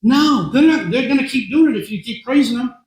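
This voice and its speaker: A man with a hoarse voice speaking to a meeting as a guest speaker, talking in a conversational way, repeating words and often clearing his throat. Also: very high voice, expressive, fairly quick, a little mellow, roomy sound, poor audio. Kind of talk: talk to a meeting. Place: meeting room.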